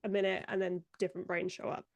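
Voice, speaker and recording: A woman's voice: fairly low pitch, flat delivery, fast talker, dry recording, highs cut off. A young woman, a video editor guesting on a live stream, chatting casually and laughing often while sounding stuffed up from a cold. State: congested, stuffed up.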